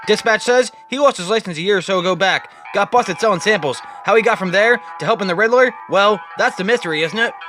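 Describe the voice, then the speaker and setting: A man's voice: sits high, quick pace, few pauses, fairly bright tone, faint music behind. A man voicing a weary, matter-of-fact police commissioner with a dry, clipped manner in a comic reading.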